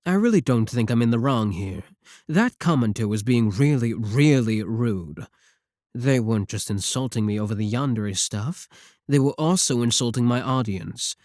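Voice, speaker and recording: A man's voice: medium pitch, lively intonation, moderate pace, darkish tone, no echo, clean studio sound, natural voice. A man playing a clingy, possessive yandere VTuber, pleading defensively and intimately with a single viewer in an ASMR roleplay. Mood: indignant.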